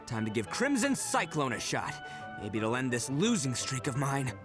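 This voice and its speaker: An actor voicing a brash, boisterous young man who is swaggering and loud about beetle fights, in a video game. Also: mid-range voice, moderate pace, over music, natural voice. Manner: loud, swaggering, big swings of excitement.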